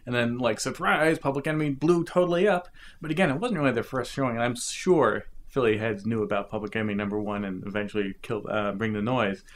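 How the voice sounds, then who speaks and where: A man's voice: medium pitch, fast talker. Chatty man reviewing old rap records. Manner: chatty, thinking aloud with fillers. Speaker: music reviewer. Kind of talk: casual commentary on records.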